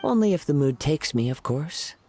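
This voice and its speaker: An actor voicing a serene young wandering poet in a video game, soft and breathy, with measured, formal phrasing. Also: male voice, fairly high pitch, animated, a little hiss or hum, lightly acted. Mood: whimsical, calm. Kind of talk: video game story dialogue.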